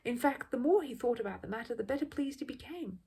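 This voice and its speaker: A woman reading a children's story aloud as an expressive narrator. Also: on the high side, fast, husky. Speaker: audiobook reader.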